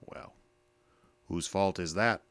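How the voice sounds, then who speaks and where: An actor in an audio drama playing a stubborn, bitter male ex-soldier with a gruff, folksy, dismissive manner. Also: low voice, takes it slowly, fairly bright tone, dry recording, full character voice. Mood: defensive, stubborn.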